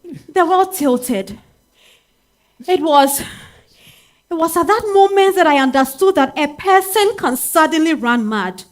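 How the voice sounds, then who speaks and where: A woman's voice: sits high, expressive, slow pace, long pauses. A woman with a Ghanaian accent playing a bitter, wounded wife in her thirties in a theatrical stage reading. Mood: stunned. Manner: theatrical, swinging from hushed to outcry. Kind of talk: dramatic stage monologue reading. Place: live stage at a literary festival.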